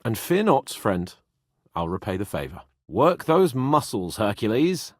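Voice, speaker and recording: A man's voice: lowish voice, very animated, unhurried, no room sound, clean studio sound. A man playing a cocky, whiny young medieval lord in a video game, with a British accent and a pompous, sardonic manner. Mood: haughty.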